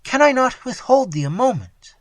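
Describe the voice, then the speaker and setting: A woman's voice: high voice, very animated, normal speed, no room sound, voice pushed up. Man doing a wailing, grief-stricken noble mother. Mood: anguished. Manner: frantic, pleading cries.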